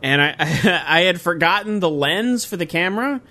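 A man's voice: high voice, expressive, normal speed, bright, forceful tone. A man in his thirties co-hosting a casual podcast, rambling with restarts and fillers and swearing now and then. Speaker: podcast co-host. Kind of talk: casual chat.

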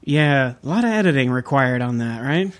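A man's voice: mid-range voice, expressive, moderate pace. A man hosting a podcast in casual, enthusiastic chat, laughing often. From Southern California. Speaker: podcast host.

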